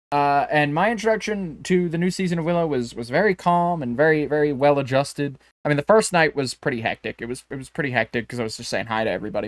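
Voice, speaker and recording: A man's voice: mid-range voice, a bit bright, no echo, studio quality. A man co-hosting a podcast in casual chat, bantering jokily and swearing freely. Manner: profane, jokey bantering. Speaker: podcast co-host.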